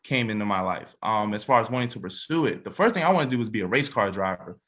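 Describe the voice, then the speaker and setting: A man's voice: on the low side, lively intonation, quick pace, fairly bright tone. Young man in casual interview chat. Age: 20s. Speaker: musician and songwriter interviewed as a cast member.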